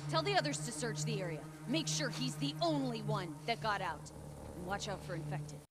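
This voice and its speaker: An actress in a video game playing a hostile outlaw fighter, forcefully barking orders. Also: female voice, medium pitch, animated, moderate pace, heavy background noise, close to an everyday voice. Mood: urgent, commanding. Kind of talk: cinematic video game acting.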